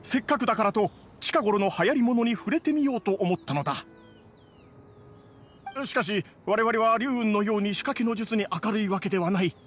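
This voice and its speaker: Man as high-voiced, formal, sheepish immortal. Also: moderate pace, a little hiss or hum, lightly acted. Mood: sheepish, confessing. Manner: stiffly polite, formal, explanatory. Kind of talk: dramatic game voice acting.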